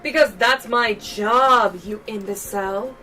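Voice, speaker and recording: A woman's voice: fairly high pitch, slow, bright, forceful tone, faint music behind, voice pushed down. A woman voicing a brusque, cynical female reporter with a curt, scornful manner.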